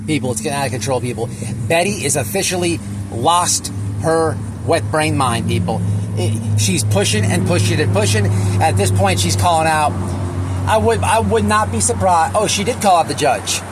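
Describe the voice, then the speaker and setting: Male online commentator, high voice, emphatic and repetitive casual rant, noisy ambient recording. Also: expressive. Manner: repetitive, halting, emphatic, crude slang. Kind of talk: casual opinionated reaction rant.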